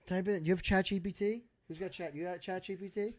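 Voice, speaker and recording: A man guesting on a podcast in casual chat, teasing and chiming in with quick remarks. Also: sits high, steady tone, darkish tone.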